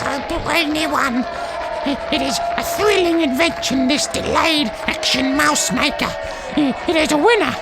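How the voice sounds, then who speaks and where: A very shrill, creaky, gloating witch. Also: low-quality recording. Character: excitable, gloating, bloodthirsty witch in an adoring crowd. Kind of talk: dramatised audiobook acting.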